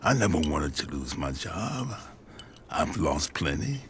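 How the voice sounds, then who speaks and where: A man's voice: a bass voice, very animated, unhurried, a little hiss or hum, full character voice. A man acting a weary, plainspoken middle-aged father in an audio drama. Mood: somber, confessional. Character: weary, plainspoken father, haunted by his failings.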